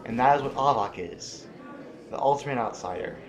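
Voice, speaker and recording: A man's voice: mid-range voice, moderate pace, crowd noise, natural voice, poor audio. A man playing a blunt, argumentative general in an audio drama. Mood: insistent. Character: blunt, opinionated military general and political dissenter.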